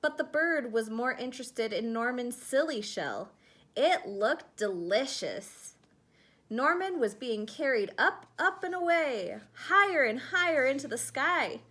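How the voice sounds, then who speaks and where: A woman reading a children's picture book aloud in an expressive storytelling style. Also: high-pitched, a little slow. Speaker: storybook reader.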